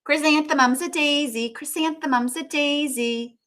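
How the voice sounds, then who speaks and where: A woman's voice: high voice, normal speed, a bit bright, a little hiss or hum, pitched above the performer's own voice. A woman voicing snobbish, teasing little schoolgirls from a storybook in an emphatic, singsong way. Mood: mocking.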